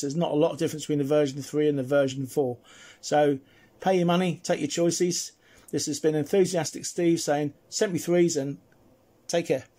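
Male radio hobbyist, fairly high slightly husky voice, friendly and chatty hands-on review style. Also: darkish tone. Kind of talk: casual hands-on demonstration and radio chat. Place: indoors at a home radio desk.

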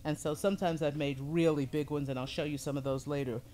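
A middle-aged woman with a low voice teaching a hands-on pottery lesson in a studio, explaining each step calmly as she works. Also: fast talker. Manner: calm, explains step by step while working with hands. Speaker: ceramics teacher.

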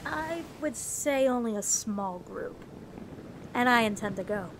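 A woman's voice: a little high, lively intonation, unhurried, a little hiss or hum. A woman playing a resolute, decisive female leader of a ship's crew in an audio drama.

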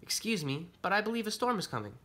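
An earnest young boy rabbit from a storybook, played by a man. The voice is raised high and very bright, with a polite, pleading, bookish way of talking. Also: big pitch swings, fast, heavily acted. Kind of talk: storybook character voice.

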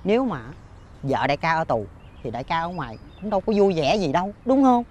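An actor with a Southern Vietnamese accent playing a tipsy, foolish, cowardly drinking buddy in a folk-tale comedy drama, halting, repetitive and full of exclamations. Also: male voice, fairly high pitch, very animated, a little mellow, a little hiss or hum. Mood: sly, pompous.

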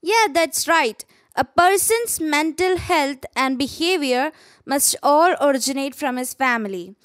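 A woman's voice: high voice, animated, a little slow, a bit bright, dry recording. A woman voicing a moralizing TV panelist in a lecturing tone.

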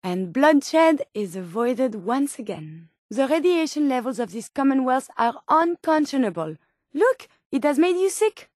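A woman's voice: sits high, sing-song, a little slow, a little mellow, dry recording, studio quality, heavily acted. An actress voicing a polite, earnest, curious female medical robot companion in a video game, with formal, precise, quaint phrasing. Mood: gentle, pleased, then alarmed, urgent.

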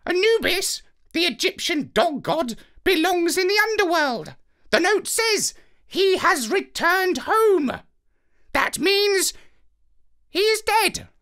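A haughty parrot genius from a children's storybook, extremely high and piercingly bright. The bird squawks out each phrase slowly and pompously, terribly pleased with its own intellect. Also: male voice, big pitch swings, heavily acted. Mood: pompous, curious. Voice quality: shrill, squawky. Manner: pompous, drawn-out theatrical delivery.

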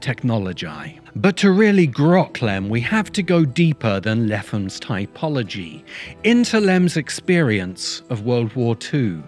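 A man's voice: medium pitch, big pitch swings, a little slow, darkish tone. A middle-aged man reading scripted documentary narration as the host. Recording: music under the voice.

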